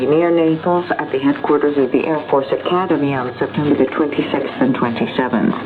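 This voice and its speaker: A woman reading a scripted international radio news bulletin in a formal, measured announcer style over a shortwave broadcast. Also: deep voice, phone-quality sound. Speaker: radio newsreader. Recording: noisy band-limited shortwave radio broadcast. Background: loud shortwave static and noise.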